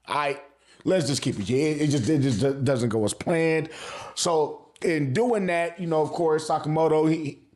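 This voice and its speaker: A man's voice: on the high side, measured pace, a little mellow. A man giving casual, slangy video commentary as an anime reviewer, swearing freely and repeating phrases for emphasis.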